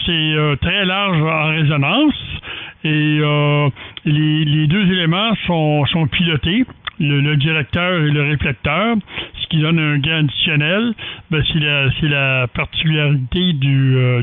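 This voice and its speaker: A man's voice: fairly high pitch, measured pace. A man with a Quebec French accent chatting technically as an amateur radio operator, hesitant and often repeating words. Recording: dry, narrow phone-like radio audio.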